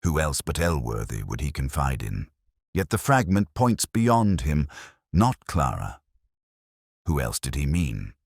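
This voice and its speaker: Keen detective played by a male narrator, very low voice, precise, commanding, audiobook drama. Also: sing-song, measured pace, husky, no room sound, studio quality, heavily acted. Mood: grave, commanding. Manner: precise, authoritative, deductive.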